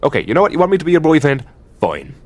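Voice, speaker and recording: A man with an Irish accent playing an exasperated, mocking, possessive elder demon boyfriend in an ASMR roleplay, sardonic and sweary in a halting, conversational way. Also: on the high side, wildly swooping pitch, no room sound. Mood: possessive, bargaining.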